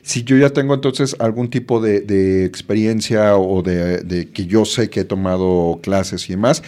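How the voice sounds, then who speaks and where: A man's voice: on the low side, fairly even intonation, unhurried, mellow. Hesitant man asking questions. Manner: hesitant, many fillers and restarts, affirming. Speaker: interviewer. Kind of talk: conversational interview.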